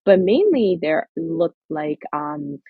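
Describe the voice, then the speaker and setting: A woman's voice: sits low, sing-song, slow pace, husky, no echo, phone-quality sound. A woman from Somaliland, an author and guest, talking earnestly and reflectively in an interview, with many fillers and restarts. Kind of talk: interview conversation.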